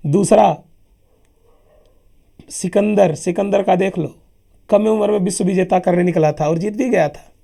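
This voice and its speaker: Man, sore throat, husky, dark mellow tone, emphatic chatty history lecture. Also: high-pitched, unhurried, long pauses. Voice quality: husky, gravelly. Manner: emphatic, chatty, addresses listeners as sir. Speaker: exam coaching teacher. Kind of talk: lively classroom history lecture.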